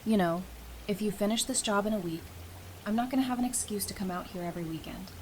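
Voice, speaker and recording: Woman playing a playful survivor over noisy rumble. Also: quick pace, darkish tone, close to an everyday voice. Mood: shyly flirtatious. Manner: casual, playful. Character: warm, teasing, geeky woman in a post-apocalyptic survivor camp. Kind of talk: fan audio drama acting. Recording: noisy background with low rumble.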